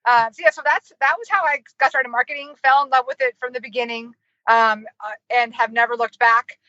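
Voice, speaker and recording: A bright, fairly high-voiced American woman talks in a chatty, giggly manner, full of fillers. She sounds like an interview guest on a dry, dull, lo-fi remote call. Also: steady tone, a little fast, dry recording. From United States. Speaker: marketing consultant and professor. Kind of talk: casual interview storytelling.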